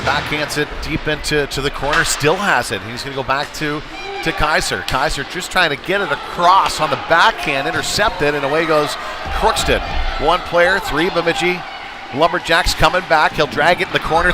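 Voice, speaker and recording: A man doing conversational, folksy live play-by-play commentary of a youth hockey game, getting excitable when play heats up. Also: on the high side, heavy background noise. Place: indoor ice rink. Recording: live rink stream with music behind. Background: music over rink PA.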